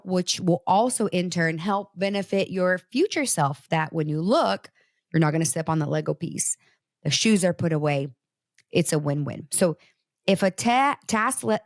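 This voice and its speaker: A woman's voice: lowish voice, no echo, studio quality. A woman from Brazil whose first language is Portuguese, hosting a casual, motivational talk and speaking straight to her listeners. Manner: animated, conversational, talks straight to listeners. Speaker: host.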